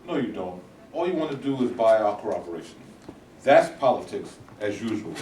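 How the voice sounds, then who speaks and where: A man's voice: lowish voice, sing-song, moderate pace, darkish tone, a little hiss or hum. A man playing a stern, righteous reverend leading a protest, speaking with a solemn, preacherly manner. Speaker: actor in a staged reading. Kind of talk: stage play reading. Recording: roomy hall from a distant mic.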